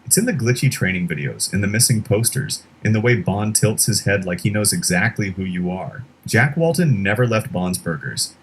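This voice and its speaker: A man narrating a scripted horror explainer in a measured, dramatic storytelling way. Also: on the low side, expressive, a little hiss or hum.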